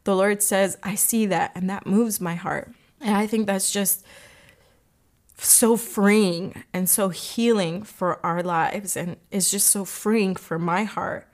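A young woman hosting a podcast, reflecting on her faith in an earnest, gently emotional way, her voice catching now and then. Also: medium pitch, steady tone, slow. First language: English. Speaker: podcast host.

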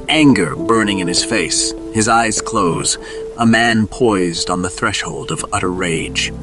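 Low-pitched male audio drama narrator.

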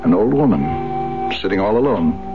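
Somber doctor, very low quiet reflective voice, old 70s radio drama, dull sound w music behind. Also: male voice, expressive, moderate pace. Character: somber doctor mourning a departed patient. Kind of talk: 1970s radio drama acting.

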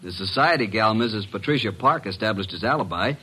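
An actor playing a brash, wisecracking detective's assistant and narrator in a vintage radio drama, breezy and slangy. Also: male voice, on the low side, expressive, fairly quick, pushed and bright, breathy edge, heavily acted. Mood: wry, chatty. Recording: noisy vintage radio recording.

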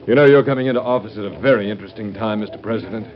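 An actor playing an affable, polished Secretary of State in a vintage radio drama. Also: male voice, on the low side, rapid-fire, close to an everyday voice. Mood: affable, curious. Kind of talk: 1950s radio drama acting. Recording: muffled radio recording, party crowd behind.

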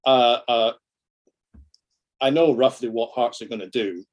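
A man's voice: mid-range voice, measured pace. A middle-aged Scottish man chatting casually about football as a podcast guest, self-deprecating and happy to swear. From Scotland.

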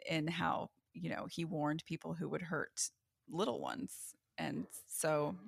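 A woman's voice: deep voice, steady tone, slow, vocal fry. A woman hosting a podcast interview in a casual, chatty way, laughing easily and using lots of "like". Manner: warm, laughs easily, many "like" fillers. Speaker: podcast host.

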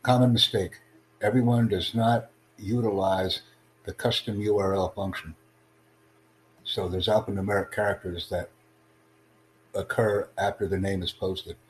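A man hosting a livestream podcast, addressing callers in a deliberate, instructive way. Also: low-pitched, little pitch movement. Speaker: podcast host. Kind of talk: livestream chat with online callers. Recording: clearly noisy, humming background.

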